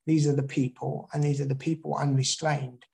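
A man's voice: medium pitch, flat delivery, moderate pace, vocal fry, darkish tone. A man from the UK giving a talk and answering audience questions, with frequent restarts and fillers. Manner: rambling, many restarts. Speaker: guest speaker. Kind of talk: online talk and Q&A.

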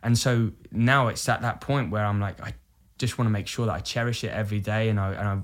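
Male actor, 30s, from the UK, lowish voice, earnest and reflective, candid interview delivery. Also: fairly even intonation, brisk. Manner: earnest, reflective, self-quoting. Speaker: musical theatre actor, podcast guest.

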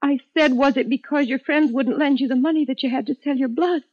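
Woman playing patient long-suffering young wife, high plaintive voice, earnest, vintage radio acting, dull recording. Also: fairly even intonation, a little fast, natural voice. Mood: anxious, questioning. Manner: earnest, plaintive, gently reproachful. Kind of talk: 1950s radio drama acting.

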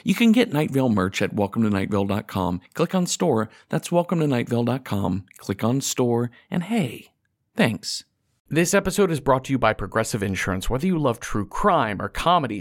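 A man reading scripted podcast promos and sponsor spots in a conversational, wry way. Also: medium pitch.

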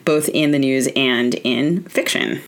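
Woman, American accent, very deep pitch, podcast host, interview and introduction delivery. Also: slow. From United States, Maryland.

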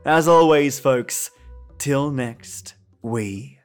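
A man's voice: medium pitch, drawn-out words, soft background music. A man hosting a podcast, speaking to his listeners in a chummy, affectionate way. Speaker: podcast host. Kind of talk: podcast outro, addressed to listeners.

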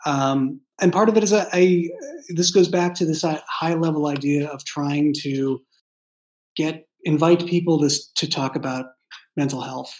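A man who creates and writes audio drama, chatting casually behind the scenes in a halting, thoughtful way that often circles back. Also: fairly high pitch, darkish tone, poor audio.

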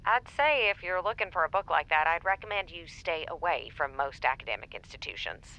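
A woman's voice: lowish voice, lively intonation, fairly quick, pushed and bright, lo-fi sound. An actress playing a dry, matter-of-fact assistant librarian, a woman heard through a telephone filter in an audio drama. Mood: businesslike, wry. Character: brisk, dry female university assistant librarian. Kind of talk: naturalistic audio drama acting.

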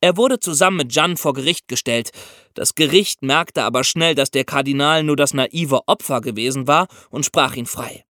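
A man hosting a podcast, telling history in a conversational, expressive storytelling style for young listeners. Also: on the high side, no room sound, clean studio sound. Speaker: podcast host.

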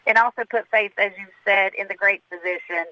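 A man's voice: sits high, steady tone, fairly quick, sharp tone, no room sound. A man in his fifties with a Southern accent, calling a radio show to earnestly explain his hospital work. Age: 50s. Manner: earnest, admiring, explains medical terms plainly. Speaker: caller, cardiac surgery worker. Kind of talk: radio call-in. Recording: muffled phone line.

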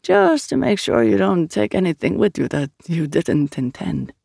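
An audiobook narrator voicing a serene male acolyte who speaks haltingly and philosophically, searching for words. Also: mid-range voice, wildly swooping pitch, vocal fry, darkish tone, slightly rough, dry recording, studio quality, heavily acted. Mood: reverent. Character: serene, hesitant otherworldly religious acolyte, a male. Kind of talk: audiobook character dialogue.

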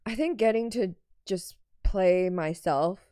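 A woman's voice: slow, dark tone, clear, resonant tone, dry recording. Understated woman comedian, dry close sound. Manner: low-key, understated delivery. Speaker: podcast guest, stand-up comedian. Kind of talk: casual podcast chat.

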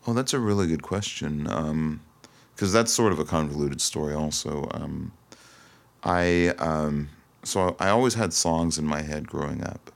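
Male interview guest, extremely low and gravelly, thoughtful and halting, conversational. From Toronto, Canada. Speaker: singer-songwriter guest. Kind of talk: casual interview chat.

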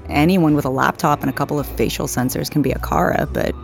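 A woman's voice: deep voice, vocal fry, soft background music. An actress playing a dry, sardonic, flirtatious woman in a naturalistic audio drama. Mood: wry, dry. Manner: deadpan, sardonic, unhurried.

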